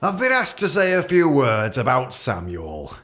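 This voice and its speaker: A man's voice: mid-range voice, wildly swooping pitch, a little slow, a bit bright, faint music behind. A man playing an awkward, catty office boss with a British accent, giving a hesitant, rambling, jokey leaving speech in a radio play. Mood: awkwardly jovial. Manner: hesitant, repetitive, jokey with barbed asides.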